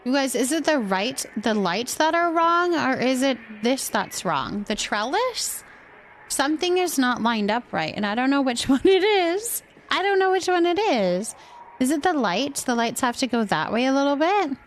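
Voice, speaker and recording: A woman chatting casually on a livestream as host, musing indecisively out loud while she decorates. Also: sits high, big pitch swings, moderate pace, a little hiss or hum.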